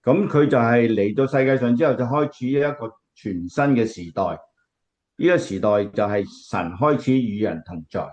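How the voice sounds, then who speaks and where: A man playing a gentle, devout grandfather who explains faith in a Cantonese radio drama. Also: mid-range voice, normal speed, dull audio, close to an everyday voice. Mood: earnest teaching.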